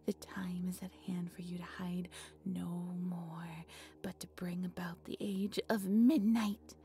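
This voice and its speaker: A woman acting a devoted, breathy cult priestess. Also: fairly low pitch, flat delivery, slow pace, warm tone, slight background noise. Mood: solemn incantation. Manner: breathy, reverent, theatrical incantation with nervous stammers. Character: devoted, obsessive young female cult leader worshipping dark master. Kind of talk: scripted roleplay audio drama.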